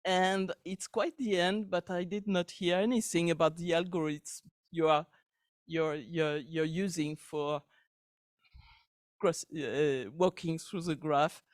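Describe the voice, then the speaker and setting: Loud high-voiced man questioning a panel. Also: steady tone, slow, no echo, clean studio sound. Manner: loud, hesitant, stumbling restarts. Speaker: audience questioner. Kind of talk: conference question.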